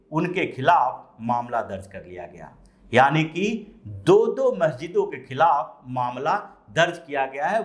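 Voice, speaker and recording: A man presenting news commentary, explaining the story in a deliberate way with drawn-out phrases and pauses. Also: a little high.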